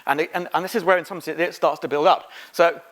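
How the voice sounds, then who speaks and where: A middle-aged man speaking as a conference presenter, giving an informal, halting technical lecture. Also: a little high, fairly even intonation, fairly bright tone. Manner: informal, halting, quizzing the audience. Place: conference room, on stage.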